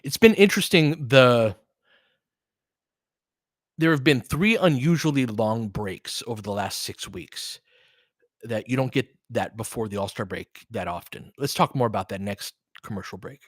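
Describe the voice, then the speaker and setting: A man's voice: medium pitch, normal speed, no echo, clean studio sound. An American man giving casual live basketball commentary, conversational and analytical, often in clipped phrases. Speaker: host and play-by-play commentator for a fan stream.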